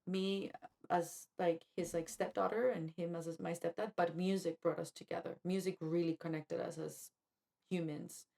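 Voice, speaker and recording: A woman from Colombia co-hosting a podcast, telling a personal story in an animated, chatty way with lots of "like". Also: fairly low pitch, normal speed, gravelly. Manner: animated, quotes herself, fillers like "like". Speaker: podcast co-host. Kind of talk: casual conversational storytelling.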